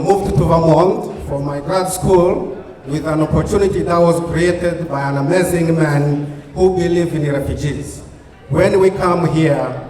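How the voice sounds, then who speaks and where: A man's voice: a little high, warm tone. Earnest Ugandan-accented man in an echoey hall. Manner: earnest, repetitive phrasing. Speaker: keynote speaker. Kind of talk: gala speech. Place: banquet hall. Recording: echoey hall with long reverb.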